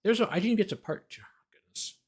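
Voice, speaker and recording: A man's voice: high voice, expressive, fast, breathy edge. A man who hosts a livestream as a lawyer, reading a document aloud and breaking off into sarcastic, exasperated, argumentative commentary.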